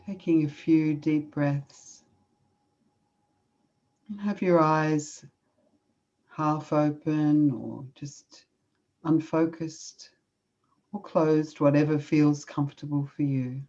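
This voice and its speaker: Woman, Australian accent, low voice, mellow tone, slow gentle meditation guide, dull online call audio. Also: fairly even intonation. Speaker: guest speaker.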